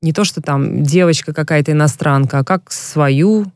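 A woman's voice: low-pitched, normal speed, darkish tone, no echo, clean studio sound. A woman, a former professional basketball player, speaking as an interview guest and telling long, frank stories about her career. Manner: frank and reflective, storytelling at length. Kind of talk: casual interview talk.

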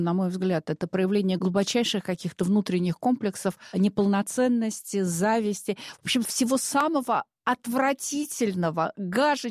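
A woman's voice: medium pitch, darkish tone, dry recording, clean studio sound. A woman in a casual talk-show conversation, speaking emphatically and reflectively with frequent fillers.

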